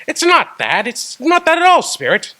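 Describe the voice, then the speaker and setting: An actor playing an old miser who is by turns remorseful and delighted, halting and wavering in radio drama acting. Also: male voice, extremely high pitch, huge pitch swings, pushed and bright, exaggerated voice. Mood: earnest, hesitant. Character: old miser man, remorseful, reliving his youth.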